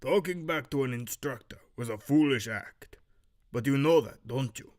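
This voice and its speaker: A man voicing a stern master archery instructor with a muddled, Romanian-like Eastern European accent, lecturing in a measured, severe way for an audio drama. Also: mid-range voice, a little mellow, close to an everyday voice. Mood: stern, admonishing. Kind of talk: fan audio drama character acting.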